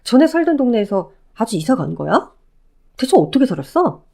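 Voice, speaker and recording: A woman's voice: fairly high pitch, very animated, fairly quick, creaky, dark tone, pitched above the performer's own voice, heavily acted. A woman voicing a pleading, self-pitying middle-aged ex-husband who begs and whines. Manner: wheedling, whiny begging.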